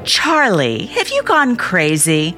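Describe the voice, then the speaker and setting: Practical small-town mom, radio drama, chiding, lower register, expressive swoops, music behind. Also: female voice, slow pace, heavily acted. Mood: puzzled, chiding. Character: fretful, practical small-town mother of a teenage girl. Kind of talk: radio play acting.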